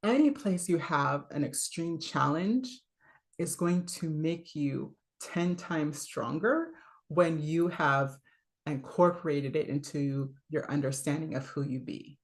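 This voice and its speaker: A woman coach with a low voice, giving a casual, chatty talk full of fillers, little laughs and "right?". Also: slow pace. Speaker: voice and leadership coach.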